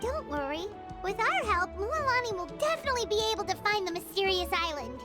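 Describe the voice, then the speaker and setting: A woman voicing a tiny, excitable fairy companion in a video game, with a squeaky, childlike voice. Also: fairly quick, loud music behind the voice. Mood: excited. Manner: excitable, speaks of self in third person.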